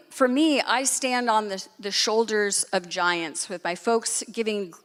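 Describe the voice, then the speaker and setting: A woman gives an earnest welcome speech as co-host of a live event. Her phrasing halts and repeats, at a middling pitch. Long hall reverb surrounds her voice. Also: a bit bright. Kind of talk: spoken welcome speech on stage. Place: large reverberant venue.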